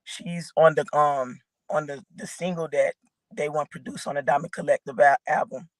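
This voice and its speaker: A woman from Georgia with a raspy voice, a guest rapper rambling casually with lots of fillers. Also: low-pitched, steady tone, normal speed, no room sound, low-quality recording. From Augusta, Georgia, raised in Atlanta. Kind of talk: casual interview chat.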